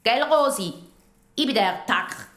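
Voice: mid-range voice, animated, measured pace, noisy background, lightly acted. Strict grouchy swiss janitor guy acted out, telling kids off, radio play, echoey. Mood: gruff. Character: grumpy, strict male caretaker who scolds children. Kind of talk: children's radio play acting.

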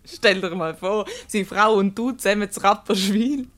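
An actress playing a scheming wife who teases and needles with mock innocence in a radio comedy, speaking with a Swiss German accent. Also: female voice, medium pitch, moderate pace, fairly bright tone, close to an everyday voice. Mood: teasing, amused. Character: teasing, scheming wife needling her husband.

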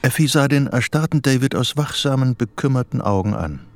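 A man as the narrator of a dark psychological radio play, reading scripted literary prose. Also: mid-range voice, expressive, a little fast, close to an everyday voice. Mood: grave, detached.

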